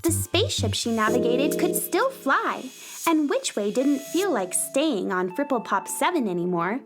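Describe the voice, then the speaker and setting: Lady playing a chirpy earnest space adventurer telling a kids story, high bouncy voice, music behind. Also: big pitch swings, unhurried. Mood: cheerful. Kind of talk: children's radio play narration.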